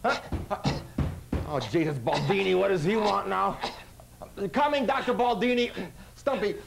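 A man's voice: high-pitched, animated, measured pace, creaky, full character voice. A man in his thirties playing a friendly janitor hosting a parody children's puppet show, chummy and coaxing. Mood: reassuring. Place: small indoor puppet show set. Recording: noisy old videotape studio sound.

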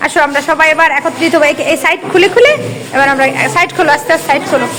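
A woman's voice: high voice, rapid-fire. A woman with a Bangladeshi accent, a schoolteacher chatting casually with young children, coaxing and directing them and often asking questions. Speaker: schoolteacher hosting a class party. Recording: noisy room with children's chatter.

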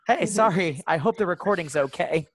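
Higher-pitched man chatting casually. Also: lively intonation, normal speed. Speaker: workshop participant, actor. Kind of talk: casual chat. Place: video call.

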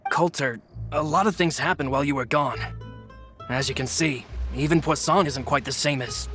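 Man performing a composed gang veteran, lightly high voice, formal, articulate, measured, video game dialogue, faint music behind. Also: a bit bright, natural voice. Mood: grave, sympathetic.

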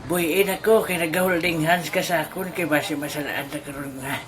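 A woman with a Western Visayan Philippine accent playing an excitable, bumbling friend in a comic audio drama. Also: sits low, moderate pace, natural voice. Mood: bossy. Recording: very noisy amateur audio drama recording.

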